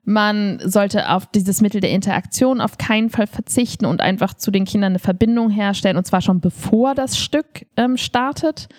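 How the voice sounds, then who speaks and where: A woman co-hosts a relaxed podcast conversation. She talks in everyday colloquial language and states her opinions with emphasis. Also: mid-range voice. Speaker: podcast co-host and improv performer. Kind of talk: casual conversational podcast chat.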